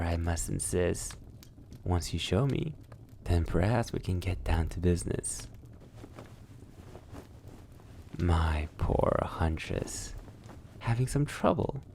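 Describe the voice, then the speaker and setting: A man playing a seductive, sadistic vampire lord in an intimate ASMR roleplay, mockingly courteous, taunting in drawn-out phrases that sink toward a whisper. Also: low-pitched, darkish tone, slight background noise, full character voice. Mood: silky, teasing. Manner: breathy near-whispers, drawn-out taunting, archly polite.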